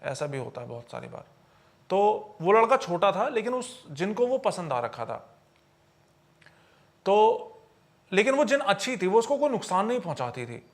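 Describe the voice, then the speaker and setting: A man's voice: sits high, sing-song, normal speed, pauses often. A man telling stories conversationally on a podcast, explaining as he goes and checking in with his listener.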